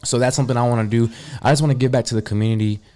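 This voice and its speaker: A man's voice: medium pitch, fairly even intonation, racing, mellow. A man in his early twenties, a barber, talking in a casual group chat and rambling with lots of "like" and "you know.". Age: 20s.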